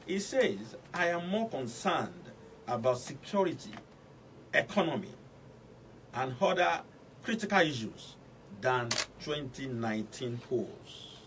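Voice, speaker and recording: A Nigerian man hosting a radio show, delivering formal commentary and introductions with deliberate, emphatic phrasing. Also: mid-range voice, very animated, fairly bright tone. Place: radio studio. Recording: distant mic with faint background noise.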